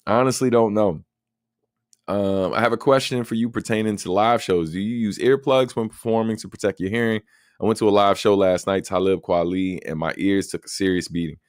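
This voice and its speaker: A man with a very low, deep voice hosts a laid-back live Q&A, talking easily and at length with lots of "um" and "like". The sound is dry and close, with no room echo. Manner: relaxed, talkative, many fillers. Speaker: host. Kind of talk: casual livestream Q&A.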